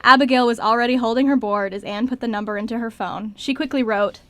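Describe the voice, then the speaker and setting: A woman's voice: fairly high pitch, steady tone, quick pace, sharp tone, no echo. A woman reading scripted story narration as the narrator of an amateur radio play.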